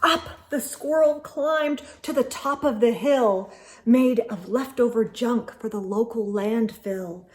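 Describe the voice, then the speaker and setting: A woman storytime host reading a picture book aloud to children, expressive and emphatic, speaking directly to young listeners. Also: on the high side, slow pace.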